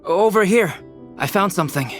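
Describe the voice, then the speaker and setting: A man playing a calm, reassuring young alchemist in a game, analytical and articulate. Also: high voice, normal speed, faint music behind. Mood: alert. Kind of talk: game voice acting.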